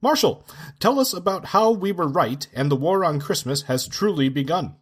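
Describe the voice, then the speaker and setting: A man's voice: a little high, lively intonation, moderate pace, breathy edge. A man playing a pompous, alarmist cable news anchor in a parody bulletin for radio drama. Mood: earnest. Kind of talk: parody breaking-news delivery.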